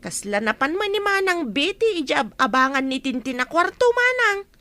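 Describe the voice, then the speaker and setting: A woman's voice: high-pitched, big pitch swings, moderate pace, bright, forceful tone, no room sound, full character voice. A woman playing a chatty, concerned village neighbour in a radio drama, talking earnestly. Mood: concerned, informing.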